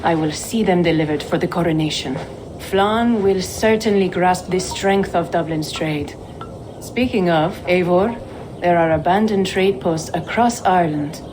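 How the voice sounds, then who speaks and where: Woman acting a low-voiced, shrewd trader woman. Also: measured pace, noisy background, lightly acted. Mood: businesslike, pleased. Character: shrewd, wry woman trader in Viking-age Dublin. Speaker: game character, merchant. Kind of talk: video game cutscene acting.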